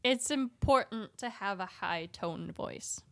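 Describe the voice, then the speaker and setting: A woman's voice: medium pitch, lively intonation, slow pace, a bit bright, no room sound. A woman co-hosting a podcast, chatting casually in a giggly, talkative way. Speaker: podcast co-host. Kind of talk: casual chat.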